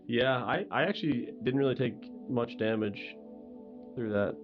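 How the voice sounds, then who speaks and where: A man's voice: medium pitch, moderate pace, muffled recording, music in the background. A man chatting casually as a player in a tabletop role-playing game.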